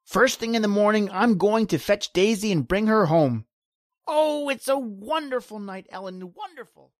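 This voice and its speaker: A woman playing an eager, excitable husband in an old-time radio drama. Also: mid-range voice, normal speed, no echo, clean studio sound, close to an everyday voice. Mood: excited. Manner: excitable, gushing. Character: eager middle-aged husband, landlady's spouse.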